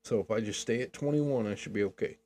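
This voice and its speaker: Laid-back older man, low voice. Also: fast, mellow. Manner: rambling, jokey, laughs often. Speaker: podcast co-host. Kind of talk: casual chat.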